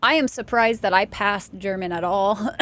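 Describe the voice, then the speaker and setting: A young woman from Texas streaming a game, chatting casually with her viewers, self-deprecating and quick to laugh. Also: medium pitch, a bit bright. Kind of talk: casual chat with stream chat while gaming.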